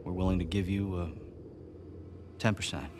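An actor playing a brash, hard-nosed treasure hunter in a video game, with an American accent and a blunt, cocky manner. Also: male voice, sits low, level tone, moderate pace, noisy background, natural voice. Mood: cocky, irritated. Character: brash, hot-tempered male treasure hunter, hard and pragmatic. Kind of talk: cinematic video game acting.

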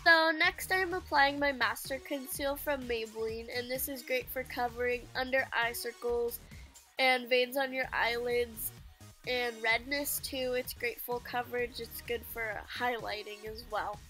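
A woman's voice: fairly high pitch, fairly even intonation, a little slow, sharp tone. A teenage girl with a stuffy, nasal voice chatting casually as she narrates her makeup routine. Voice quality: nasal, congested. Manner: chatty, with fillers like "um" and "like". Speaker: beauty vlogger. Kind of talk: casual get-ready-with-me voice-over. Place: home living room. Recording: music playing behind the voice.

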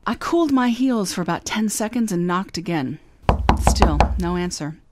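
A woman's voice: lowish voice, expressive, normal speed, heavy background noise, lightly acted. A woman playing a wisecracking young female private detective in a radio drama, telling her story in dry, wry first-person hardboiled narration. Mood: narrating. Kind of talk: 2009 re-creation of 1950 radio drama. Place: recorded live, San Francisco.

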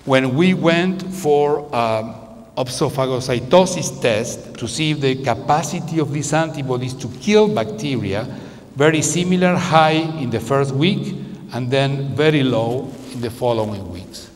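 A man's voice: medium pitch, measured pace. A man in his fifties giving a conference keynote on a handheld microphone, speaking in non-native phrasing with frequent restarts. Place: large conference room with curtains. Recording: long hall reverb.

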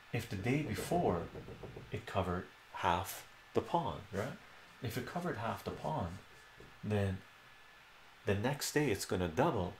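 Middle-aged man with a deep, gravelly voice, talking through a math problem in a relaxed, chatty way and checking in with "right?" after each step. He's recorded on a webcam mic in a small room that rings with echo. A faint hum sits under his voice. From Iran. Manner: chatty, repeats phrases and tags "right?" often. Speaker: math streamer. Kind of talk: casual live math lesson at a whiteboard. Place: small home room with bookshelves.

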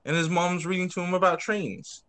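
A man teacher reading picture books aloud to young children on a video call, expressive, drawing out words and adding child-friendly asides. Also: high-pitched, moderate pace. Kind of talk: animated read-aloud story time for young children.

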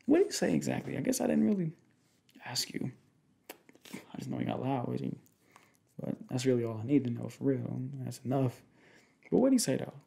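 A young man in a casual, improvised boyfriend roleplay, speaking informally in slangy, halting, rambling phrases. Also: mid-range voice, very animated, gravelly, warm tone. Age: young adult. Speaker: ASMR roleplay performer playing a protective boyfriend. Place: small indoor room at home.

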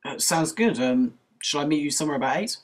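A man's voice: fairly high pitch, expressive, fairly quick. A young man playing an awkward, friendly college student in casual drama dialogue. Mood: hesitant. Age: young adult.

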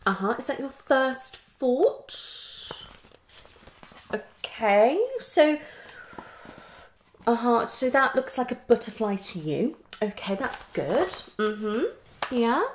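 A woman's voice: a little high, lively intonation, slow, pauses often, slight background noise. A woman in her thirties with a British accent acts out a psychologist in an ASMR roleplay, asking questions theatrically with murmured acknowledgements. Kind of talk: ASMR roleplay, one-sided consultation. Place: small living room at home, indoors.

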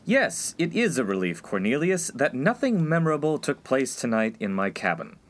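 A man's voice: medium pitch, very animated, normal speed, natural voice. A young man playing an exasperated, put-upon college freshman with a dry manner in a comedy radio play. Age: young adult. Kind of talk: 1950s-set comedy radio play acting.

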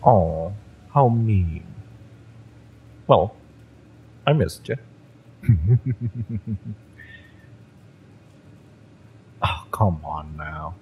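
Man acting a cocky, low-voiced male mercenary. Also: animated, husky, phone-quality sound, exaggerated voice. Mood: teasing, smug. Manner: smirking, taunting, drawn-out delivery with chuckles. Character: cocky, fiery male mercenary, taunting and teasing. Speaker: voice actor in romance audio roleplay. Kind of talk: acted audio roleplay monologue.

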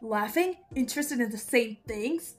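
A woman's voice: a little high, lively intonation, a little slow. A woman voicing a brash, cocky, jealous male boss angel in a comic dub, with a swaggering, crude, emphatic delivery. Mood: suspicious. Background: background music.

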